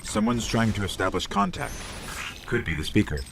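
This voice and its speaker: Low, creaky, wry robot companion. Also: male voice, very animated, normal speed, heavy background noise. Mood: urgent, alert. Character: small AI robot companion, wry, inquisitive scout. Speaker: guide companion. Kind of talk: cinematic video game voice acting.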